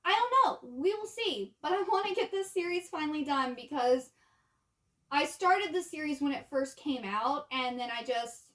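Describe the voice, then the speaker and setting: A woman's voice: high-pitched, lively intonation, normal speed, a bit bright. A woman chatting casually and informally, like a book vlogger talking to viewers, with asides and trailing thoughts. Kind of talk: casual chat to camera.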